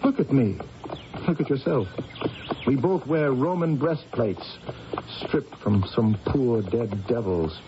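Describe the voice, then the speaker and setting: An actor playing a shrewd, seasoned warrior counsellor of ancient Britain, measured and persuasive, in radio drama style. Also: male voice, mid-range voice, lively intonation. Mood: urgent persuasion. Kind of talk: 1980s radio drama acting. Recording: dull, noisy old radio broadcast recording.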